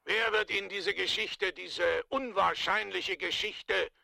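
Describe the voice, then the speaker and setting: An actor in a dubbed film thriller playing an imperious, menacing rich magnate with a gravelly voice and a commanding, skeptical manner. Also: male voice, high-pitched, fairly even intonation, measured pace, sharp tone, no echo, full character voice. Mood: cold, skeptical. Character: imperious, menacing wealthy magnate guarding his secret past.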